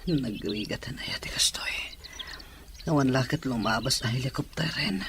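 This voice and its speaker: A man's voice: mid-range voice, expressive, normal speed, close to an everyday voice. A man playing a weary, ailing castaway captain in an amateur drama, speaking in a subdued way. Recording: clear background noise, outdoor ambience.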